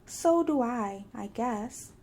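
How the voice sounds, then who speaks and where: A woman's voice: on the high side, expressive, slow, warm tone, exaggerated voice. A woman voicing a fussy, anxious, bossy male rabbit in a children's storybook, emphatic and insistent. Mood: grudging.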